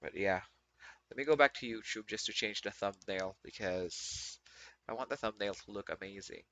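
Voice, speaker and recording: A man's voice: on the low side, moderate pace, bright, forceful tone, slight background noise. A man chatting casually as he livestreams a video game, rambling and often rephrasing himself. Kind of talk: casual live stream chat. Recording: muffled lo-fi mic, faint music behind.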